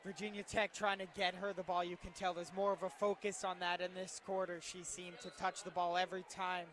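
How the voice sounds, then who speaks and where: A man's voice: high-pitched, level tone, a little fast, fairly bright tone. A man giving color commentary and analysis during a live basketball radio broadcast.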